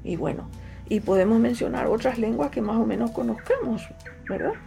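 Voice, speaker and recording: A middle-aged woman with a Paraguayan Spanish accent and Guaraní as her first language speaks slowly and thoughtfully, often circling back to repeat a phrase. Her voice is gravelly and dark, with a relaxed mellowness. Soft music plays faintly beneath her. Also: on the high side. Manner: unhurried, fond of repetition, frequent fillers. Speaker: writer, interviewee. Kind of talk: reflective interview answers.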